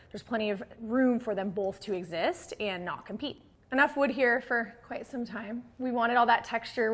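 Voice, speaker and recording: An American woman in her thirties giving a casual, enthusiastic home tour as an interior designer, speaking conversationally into a lapel mic. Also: fairly high pitch. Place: large open-plan house interior with hard floors. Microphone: clip-on lapel mic.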